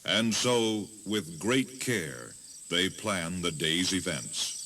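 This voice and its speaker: A man giving solemn, measured, dramatic scripted narration as a tour guide. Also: deep voice, expressive, background noise.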